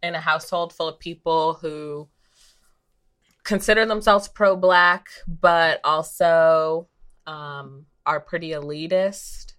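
A woman guest on a podcast with a slightly low voice. She talks very slowly and reflectively, halting between phrases. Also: fairly even intonation, a bit bright, smooth. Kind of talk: reflective conversation.

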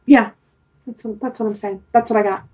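A woman's voice: a little high, steady tone, fairly quick, darkish tone, slightly rough. A woman in a casual, reflective discussion, thinking aloud and repeating words for emphasis. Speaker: podcast discussion participant.

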